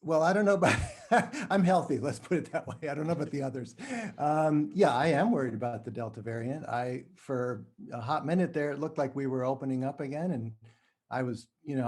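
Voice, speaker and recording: A man's voice: on the high side, darkish tone. A man speaking as a podcast guest, chatting informally and thinking aloud as he goes. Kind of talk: casual chat.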